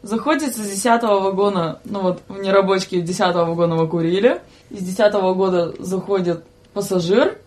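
A young adult woman with a medium-pitched voice chats casually as a podcast guest. She tells anecdotes in lively, slangy language and slips into quoting people. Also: fairly quick. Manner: animated, slangy, giggly storytelling with acted-out quotes. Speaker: podcast guest, student train attendant. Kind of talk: casual chat, telling anecdotes.

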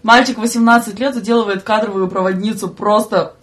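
A young woman with a mid-pitched voice tells anecdotes as a podcast guest. She talks in a casual, slangy way and expressively acts out what people said. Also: fairly even intonation, fairly quick. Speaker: podcast guest, train conductor.

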